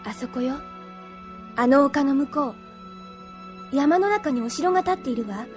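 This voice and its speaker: A woman's voice: high-pitched, lively intonation, a little slow. A woman playing a tender, devoted young woman in love in an anime audio drama, with emotive delivery. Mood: tender, wistful. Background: background music.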